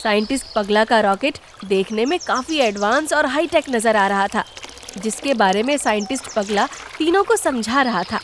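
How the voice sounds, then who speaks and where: A woman's voice: on the high side, expressive, moderate pace, a little hiss or hum. A woman reading scripted storytelling narration for a cartoon tale.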